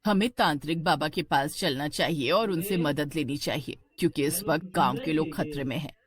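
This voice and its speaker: A woman's voice: low-pitched, normal speed, a crowd in the background, voice pushed down, natural voice. A woman voicing a remorseful village aunt in a cartoon horror story, grave and apologetic as she warns others. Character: remorseful village aunt confessing and warning of doom.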